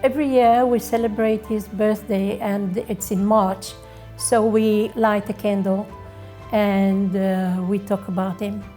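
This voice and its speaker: A woman's voice: medium pitch, little pitch movement, measured pace, warm tone. A woman sharing family memories in a documentary interview. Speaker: interviewee, relative of fallen soldier. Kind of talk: personal documentary interview recollection. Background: music.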